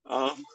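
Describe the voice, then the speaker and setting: A man's voice: a bit bright, dry recording. A man co-hosting a show in casual chat, rambling wryly as he reacts to a story. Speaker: co-host of a fan fiction reading show.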